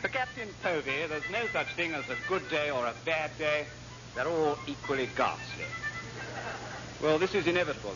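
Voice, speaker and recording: A man's voice: medium pitch, background noise. An English man narrating a classic radio comedy from a script, as the announcer. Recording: muffled old radio recording.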